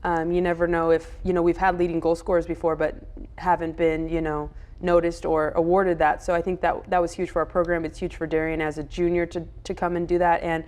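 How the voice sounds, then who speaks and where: A woman's voice: fairly low pitch, flat delivery, fairly quick, darkish tone. A woman soccer coach giving casual answers in an interview.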